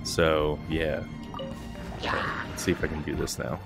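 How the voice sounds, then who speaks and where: Low-voiced man casually commenting on a game. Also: monotone, unhurried, vocal fry. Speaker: gaming video host. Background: game music.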